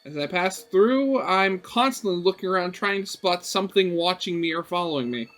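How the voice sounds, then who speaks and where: A young man reading a visual novel aloud as a let's play host, expressive and breaking into casual asides. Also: sits high, normal speed.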